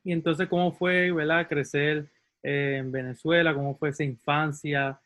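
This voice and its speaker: A man's voice: fairly high pitch, flat delivery, moderate pace, fairly bright tone, far from the mic. A man co-hosting an interview podcast who introduces the guest eagerly, often adding "eh" and "¿verdad?". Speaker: co-host.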